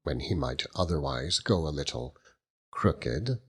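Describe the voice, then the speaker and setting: A man's voice: extremely low pitch, lively intonation, a little slow, husky. A man reading scripted literary narration as an audiobook narrator.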